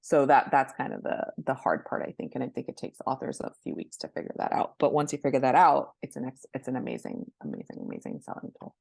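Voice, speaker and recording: Low-pitched woman giving informal expert advice. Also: big pitch swings, quick pace, gravelly, hardly any pauses, a little mellow, husky, no echo. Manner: conversational, gives practical examples and step-by-step advice. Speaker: guest expert, book publishing professional and influencer. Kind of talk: informal explanatory interview talk. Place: indoors by a window, at a laptop.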